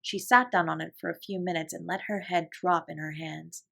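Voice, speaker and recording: A woman narrating a classic children's novel as a bedtime story, in a calm, gentle, measured voice. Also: fairly low pitch, vocal fry. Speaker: audiobook reader.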